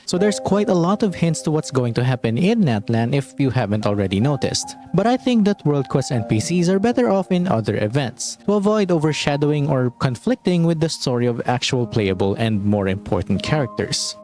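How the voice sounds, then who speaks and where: A man's voice: fairly high pitch, darkish tone. A man narrating a scripted game lore video essay in a conversational, opinionated way. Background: background music.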